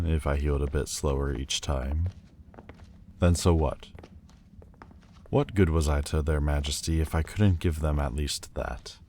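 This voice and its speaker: A man playing a proud, wounded demon lord in an audio roleplay, guarded but vulnerable, with halting, stiffly formal, wry delivery. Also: a bass voice, warm tone, slight background noise. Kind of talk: intimate audio roleplay acting. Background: ambient sound effects.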